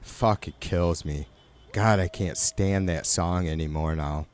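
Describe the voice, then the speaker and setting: Low, nasal, raspy man chatting. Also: slow, a little mellow. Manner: rambling, profane, loose. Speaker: livestream host. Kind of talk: casual chat with live viewers.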